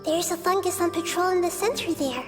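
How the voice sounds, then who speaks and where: Gentle, curious little girl goddess in a fantasy game, very high and soft, over clear game music. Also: a little fast. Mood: calm. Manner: soft, calm, earnest.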